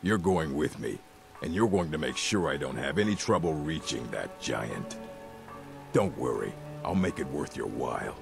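Deep, gravelly, resentful orc chief. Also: male voice, lively intonation, normal speed, music in the background, exaggerated voice. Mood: resentful, bossy. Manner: blustering, grudging, bossy. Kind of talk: scripted video game dialogue.

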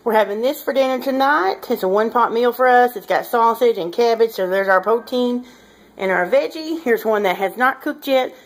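A woman's voice: on the high side, moderate pace. A woman in her thirties chats casually and ramblingly to her viewers as a home cooking vlogger, recorded indoors on a handheld device. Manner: chatty, rambling, addressing viewers informally. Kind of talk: casual vlog chat to viewers. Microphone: handheld camera or phone at arm's length.

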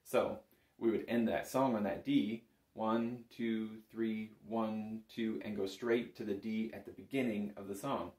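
A man's voice: on the low side, fairly even intonation, measured pace, darkish tone. A man giving a casual, step-by-step music lesson as an instructor, counting beats and checking in as he goes. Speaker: bagpipe teacher. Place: small indoor room full of instruments. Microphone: camera a short distance in front. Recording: roomy echo from camera mic.

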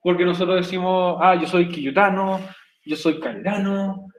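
A Chilean man teaches an online English class, chatty and joking, often asking his students if they follow. His voice sits high. It rings a little in a roomy space, picked up by a laptop mic. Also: fairly even intonation, normal speed. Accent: Chilean Spanish. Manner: chatty, jokey, asks "¿cierto?" often. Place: home room on a video call.

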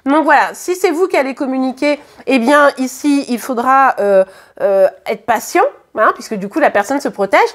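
A hoarse woman with a French accent, doing a casual tarot reading to camera in a chatty, hesitant way with many fillers and restarts. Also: high-pitched, expressive, moderate pace. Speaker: tarot card reader. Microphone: camera at the reading table.

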